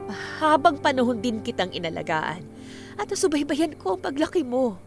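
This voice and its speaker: An outspoken middle-aged auntie in a Filipino radio drama, her voice a little high, with music behind. She is blunt and dramatic, nagging yet caring. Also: big pitch swings, moderate pace. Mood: apologetic.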